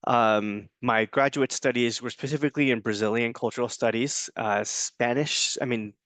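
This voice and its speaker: A man recording an introduction to his online course. He talks informally, with frequent ums and restarts. His voice is smooth and clear, captured dry and close with no room sound. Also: mid-range voice, steady tone, normal speed, a bit bright, no room sound. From United States. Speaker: adjunct Spanish professor.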